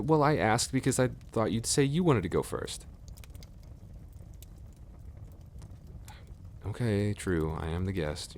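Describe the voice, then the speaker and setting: A young man playing a gentle, nervous best friend in an ASMR boyfriend roleplay, speaking softly and hesitantly in a tender, reassuring way. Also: close to an everyday voice. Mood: playful, relaxed. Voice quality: very deep, creaky.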